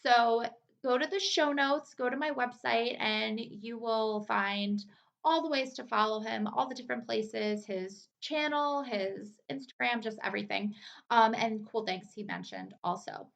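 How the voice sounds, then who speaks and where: A woman's voice: on the high side, animated, unhurried, creaky, fairly bright tone. A woman hosting a podcast in an enthusiastic, informal, chatty way. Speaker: podcast host. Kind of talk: casual chat.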